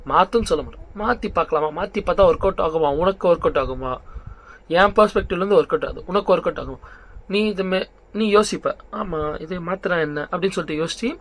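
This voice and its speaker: A young man giving informal motivational advice as a vlog host, conversational and mixing in English phrases, often checking in with the listener. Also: on the high side. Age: young adult. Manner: conversational, checks in with rhetorical questions.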